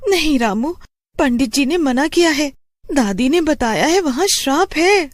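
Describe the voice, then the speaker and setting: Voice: high voice, lively intonation, measured pace, no echo, studio quality, pitched above the performer's own voice. A narrator voicing an anxious, caring young village woman who questions and pleads in a horror story. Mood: alarmed pleading.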